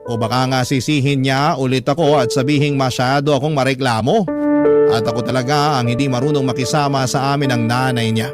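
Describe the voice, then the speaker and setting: A man's voice: mid-range voice, steady tone, brisk, loud music behind the voice. A man with a Filipino accent narrating a letter sender's story as dramatic scripted radio narration.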